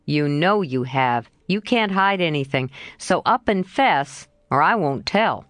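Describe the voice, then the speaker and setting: A cheerful young man, as a woman narrator puts him on, teases and coaxes in an easy, slightly lowered voice. His lines still rise and fall with lots of movement. The sound is close and dry, as in a storybook reading. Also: animated, a little slow, a bit bright, dry recording. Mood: coaxing. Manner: lightly lowered, coaxing, playful.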